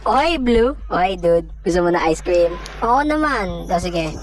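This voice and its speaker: An excitable, playful cartoon stick figure voiced by a woman with a Filipino accent. The delivery is exclamatory and slangy, full of chatter and squeals, in the style of an amateur animation dub. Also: on the low side, a little slow. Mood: eager, bossy.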